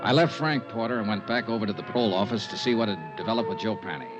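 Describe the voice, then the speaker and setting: An actor playing a dry, persistent insurance investigator in a 1950s radio drama, with an American accent and clipped, understated delivery. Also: male voice, lowish voice, racing, lightly acted. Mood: wry narrating. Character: dry, persistent male insurance investigator narrating his case. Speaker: investigator and narrator. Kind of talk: 1950s radio detective drama, scripted narration. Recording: dull vintage radio recording, music.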